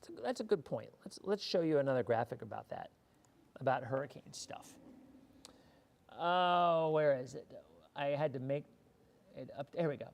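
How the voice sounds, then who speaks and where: A hoarse man hosting a live weather show, chatting casually and answering viewer questions, often repeating words as he thinks. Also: fairly high pitch, lively intonation, normal speed, pauses often.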